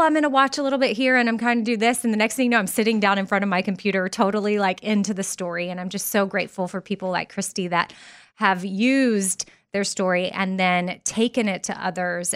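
A woman's voice: medium pitch, fairly even intonation, a little fast, fairly bright tone, clean tone. Bubbly woman hosting a podcast. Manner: bubbly, enthusiastic and chatty. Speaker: podcast host. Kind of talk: casual podcast interview.

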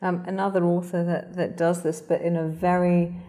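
Low, mellow-voiced woman interviewer. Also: little pitch movement. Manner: articulate, measured, with hesitation fillers. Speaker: journalist and interviewer. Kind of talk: interview questions and commentary.

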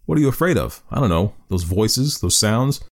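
A man's voice: on the low side, sing-song, normal speed, voice pushed up. A man voicing a lonely stranded space explorer, nervous and arguing with himself. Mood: anxious.

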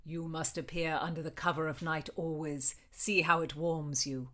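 Woman voicing a tender, lowered-voice Tudor gentleman lover. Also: flat delivery, moderate pace. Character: tender, ardent Tudor gentleman in love, sweet-spoken. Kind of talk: storybook character reading.

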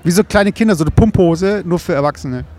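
High-voiced young man chatting loosely. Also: brisk, darkish tone. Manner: joking, loose rambling storytelling. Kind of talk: casual banter chat.

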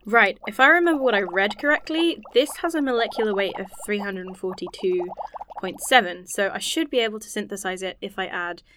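Actress as self-conscious woman scientist, earnest and rambling, naturalistic audio drama, medium-pitched voice. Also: brisk, noisy background, natural voice. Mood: absorbed, rambling.

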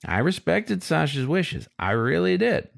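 A man's voice: on the high side, very animated, unhurried, gravelly, voice pushed up, exaggerated voice. A man voicing a sly, frustrated male fox who narrates his story in a wry, confiding, colloquial way, as in an audiobook. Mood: resigned, frustrated.

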